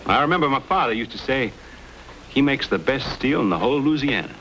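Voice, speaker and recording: A man's voice: medium pitch, expressive, brisk, a bit bright. Courteous young frontiersman, bold, folksy, old-time radio Western, faint background noise. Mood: determined, easygoing. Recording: noisy old radio drama recording.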